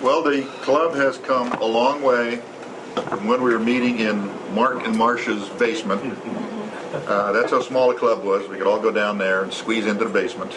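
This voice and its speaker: An older man reminiscing informally to a gathering as a long-time club member, halting and pausing as he goes. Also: fairly low pitch, fairly even intonation. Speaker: founding member of a hobby club. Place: restaurant luncheon room. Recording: noisy room, air conditioning hum.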